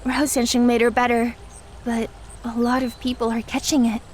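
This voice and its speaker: A woman's voice: a little high, level tone, normal speed, darkish tone, a little hiss or hum, natural voice. A woman playing a polite, earnest and proud young female martial artist in an audio drama. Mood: concerned.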